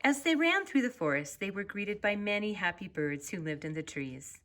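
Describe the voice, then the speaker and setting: A woman narrating a children's story as its author, reading expressively and deliberately. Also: medium pitch. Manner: expressive, deliberate, drawn-out phrase endings.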